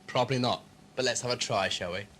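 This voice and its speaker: A man's voice: medium pitch, quick pace, fairly bright tone, a little hiss or hum, lightly acted. A man with a British accent acting an eccentric, flippant space-travelling scientist in an amateur audio drama. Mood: jaunty. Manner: flippant, breezy, teasing. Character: whimsical, eccentric male scientist who travels space and time. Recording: music under dialogue, home-made cassette drama.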